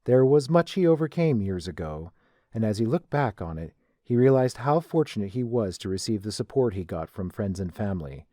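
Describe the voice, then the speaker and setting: A man's voice: on the low side, sing-song, moderate pace, warm tone, dry recording. A man narrating a scripted story as the narrator. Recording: dry voice with music behind.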